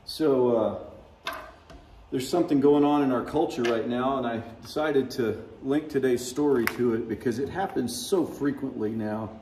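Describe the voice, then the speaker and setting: Conversational pastor in an echoey church. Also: male voice, mid-range voice, moderate pace, warm tone, recorded from a distance, slight background noise. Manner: conversational, wry, chuckles at own remarks. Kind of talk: sermon. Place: church sanctuary.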